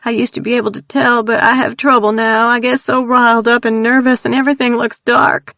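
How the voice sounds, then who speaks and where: A woman's voice: flat delivery, gravelly, bright, forceful tone, no room sound. A woman doing scared young East Texas woman Tildy. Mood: frantic. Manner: high-strung, rapid, swearing. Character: frightened young Southern woman, crude and tearful. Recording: dull dry audio.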